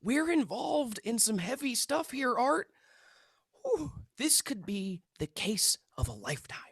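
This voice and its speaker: A man's voice: extremely high pitch, sing-song, a little slow, a bit bright, slightly rough, dry recording, clean studio sound, exaggerated voice. A man playing an intense, earnest young amateur paranormal investigator in a script read, self-important and conspiratorial. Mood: excited, intense. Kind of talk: table read of a TV pilot script.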